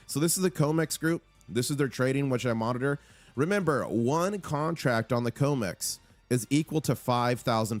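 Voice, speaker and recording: A man hosts a live market stream with a voice gone hoarse from talking too long. He delivers excited, urgent commentary at a mid pitch, hammering each number twice. The sound is dry and close, with soft music faintly behind him. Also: no echo. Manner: urgent, hyped, repeats key numbers. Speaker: livestream host on silver markets.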